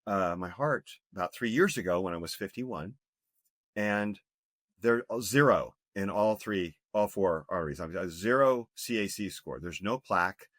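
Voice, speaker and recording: A man's voice: low voice, lively intonation, moderate pace, a bit bright, no room sound, studio quality. A man in his fifties, a health coach speaking earnestly and emphatically as a podcast guest, with frequent restarts. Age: 50s. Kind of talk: podcast interview.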